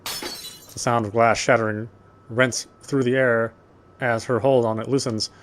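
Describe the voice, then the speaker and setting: A man with a mid-pitched voice reads story narration from a visual novel. He takes it in a measured, literary manner, occasionally tripping on a phrase and going back over it. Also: level tone, fairly bright tone. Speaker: let's player reading game text.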